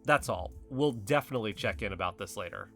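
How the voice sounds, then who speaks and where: A man playing an earnest, excitable, principled podcast investigator in naturalistic audio drama. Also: fairly low pitch, a little fast, soft background music. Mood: eager, earnest. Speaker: podcast host.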